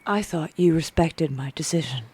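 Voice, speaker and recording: An actress playing a guarded, weary young vigilante superhero in an audio drama, speaking in a subdued, deliberate way. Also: female voice, sits low, lively intonation, a little mellow, slightly rough. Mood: hurt, withdrawn.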